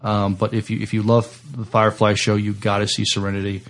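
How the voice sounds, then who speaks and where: A man co-hosting a podcast, talking casually in a halting, hesitant way. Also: lowish voice, completely flat delivery. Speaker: podcast co-host. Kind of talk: casual list rundown and chat.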